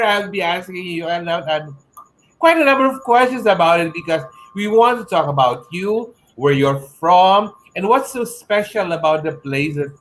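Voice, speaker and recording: A man's voice: high-pitched, a bit bright, poor audio. Gushing male online show host. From Philippines. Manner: gushing, effusive, exclaims a lot. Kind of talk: online interview show hosting. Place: home room with shelves. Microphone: earphone headset mic on video call.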